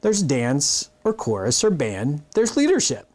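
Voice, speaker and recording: A man's voice: a little high, wildly swooping pitch, a little slow, darkish tone, full character voice. A man voicing a chatty, bossy but helpful schoolgirl in a storybook read-aloud. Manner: chatty, rambling explaining.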